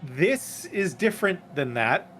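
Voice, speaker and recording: A man's voice: high-pitched, sing-song, a little slow, a bit bright, faint music behind. A man playing a tabletop roleplaying game, talking casually and half in character in an amused, hesitant way. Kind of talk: casual improvised in-character chat.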